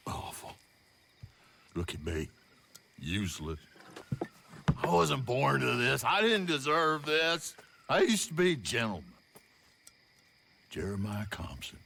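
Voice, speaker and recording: An actor playing a bitter, destitute old Southern gentleman in a video game, rambling in self-pity with an American Southern accent. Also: mid-range voice, big pitch swings, vocal fry, slight background noise, full character voice. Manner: slow, maudlin, rambling lament. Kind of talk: video game cutscene acting.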